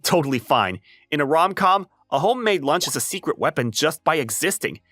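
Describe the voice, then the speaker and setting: Man voicing a dramatic, flustered teenage boy, very animated. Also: fairly high pitch, brisk, dry recording, faint music behind. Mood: confident lecturing. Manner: animated, exasperated, prone to lecturing. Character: flustered, dramatic high school boy, introverted aspiring rom-com writer. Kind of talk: anime-style comic dub acting with narration.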